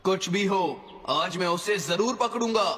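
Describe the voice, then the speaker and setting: A man's voice: high-pitched, a little slow. A man playing a bossy, hot-tempered boy bully in a Hindi cartoon dub, commanding and menacing. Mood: bossy, determined. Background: loud music.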